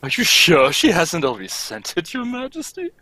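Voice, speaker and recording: A man playing a fussy, eager, loyal gentleman sidekick in a radio mystery, polite, exclamatory and inquisitive. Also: fairly high pitch, huge pitch swings, moderate pace, no echo, full character voice. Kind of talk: old-time radio mystery acting, read live.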